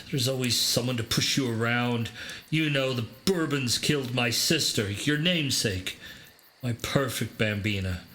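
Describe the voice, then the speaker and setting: A man's voice: medium pitch, bright, forceful tone, slight background noise. A man acting in a radio play as a protective, doting crime-boss father, earnest and paternal. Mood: grave. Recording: faint music bed.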